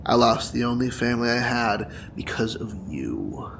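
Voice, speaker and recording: A man's voice: mid-range voice, big pitch swings, moderate pace. An actor playing a bitter, wounded young male supervillain in an audio drama, taunting and dramatic in manner. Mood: bitter, accusing. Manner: taunting, dramatic, profane, breaking into whispers and laughter. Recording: clear background noise and ambience.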